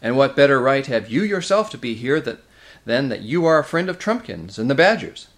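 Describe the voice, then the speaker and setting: A man's voice: mid-range voice, very animated, fairly quick, pitched above the performer's own voice. Guy reading as angry dwarf from a storybook, sneery n snarly, voice jumps all over. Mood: furious. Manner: snarling, scornful, accusing. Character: angry, sneering, bitter male dwarf rebel. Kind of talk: dramatic audiobook character reading.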